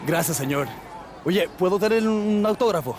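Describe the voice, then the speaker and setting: An apologetic, pleading male fan in a video game scene. His voice is a little high, and there is clear noise around him. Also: lively intonation, brisk, a little mellow. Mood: grateful. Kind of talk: video game cutscene acting. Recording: clearly noisy outdoor-sounding background.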